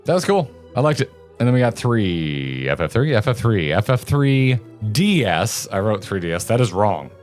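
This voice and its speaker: A man around his thirties or forties reacting casually and excitedly to game footage, with informal, opinionated commentary. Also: fairly low pitch, moderate pace, soft background music. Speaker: co-host of a video game reaction show.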